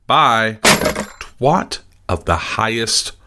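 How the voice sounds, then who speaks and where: A foul-mouthed newspaper editor grumbles and swears under his breath. He speaks slowly, and his pitch swings widely. Steady noise sits behind him in this radio drama. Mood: grumbling. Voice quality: muttering, swearing to himself. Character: gruff rude male newspaper editor.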